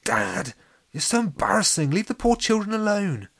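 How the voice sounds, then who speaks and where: Young boy ghost for a storybook, kindly, apologetic, lively pitch, played by a man. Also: normal speed, dry recording, clean studio sound. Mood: embarrassed. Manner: apologetic, gently reproachful. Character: friendly, embarrassed eleven-year-old boy ghost.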